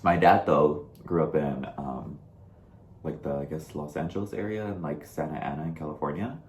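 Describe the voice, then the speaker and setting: A man's voice: extremely low pitch, moderate pace, reverberant, a little hiss or hum. A young man from Texas co-hosting a casual talk show over a video call, chatty and full of "like" and "I mean". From Dallas, Texas. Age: young adult. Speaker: co-host. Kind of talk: casual chat.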